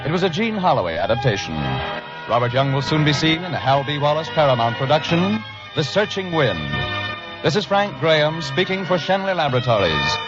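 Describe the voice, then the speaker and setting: A man reading formal closing credits as a 1940s radio announcer, measured and formal. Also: a little high, big pitch swings. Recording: muffled vintage broadcast with loud music.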